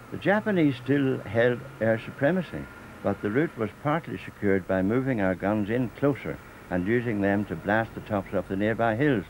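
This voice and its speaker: An older man from Northern Ireland narrates in a measured, steady way, his voice pitched a little low. There's a faint hum of ambience underneath him. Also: poor audio. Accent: Northern Irish. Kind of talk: scripted documentary narration.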